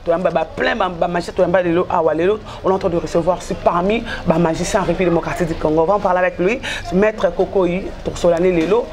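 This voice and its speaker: A woman hosting a casual interview on a small studio set, prompting her guest with quick, chatty questions. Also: lowish voice, steady tone, a little mellow. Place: small studio with a branded backdrop. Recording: noisy studio recording.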